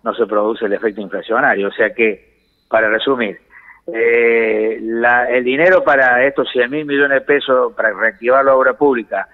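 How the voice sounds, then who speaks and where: An Argentine man giving measured economic explanations in a phone interview, pausing to search for words. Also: mid-range voice, fairly even intonation. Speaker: economics commentator, phone guest. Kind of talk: explanatory radio-style interview answers. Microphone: telephone. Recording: reverberant phone call feed.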